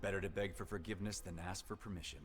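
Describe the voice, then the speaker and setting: An actor playing a wary, dutiful American army captain in a game cutscene, terse and guarded, with an American accent. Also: male voice, deep voice, fairly even intonation, quick pace, lightly acted. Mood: resolute, dry. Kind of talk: dramatic game cutscene acting.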